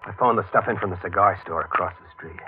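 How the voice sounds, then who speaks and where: A man's voice: deep voice, quick pace, close to an everyday voice. Man playing hardboiled reporter, old tinny radio. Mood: hardboiled narration. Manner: hardboiled, clipped, dramatic. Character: earnest, tense young-sounding male newspaper reporter hero. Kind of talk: 1940s radio acting and first-person narration. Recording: tinny old radio broadcast, music behind.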